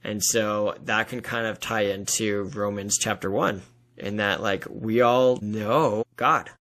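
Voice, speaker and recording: A man's voice: lowish voice, fairly bright tone. A man hosting a podcast, casually discussing faith in an earnest, explanatory way peppered with "like". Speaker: podcast host.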